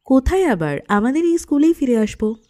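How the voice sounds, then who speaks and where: A woman's voice: high-pitched, very animated, a little fast, warm tone. A woman playing a feisty, playful teenage schoolgirl in an audio love story, bantering with spirit. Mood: playful, casual. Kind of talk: Bengali audio story acting.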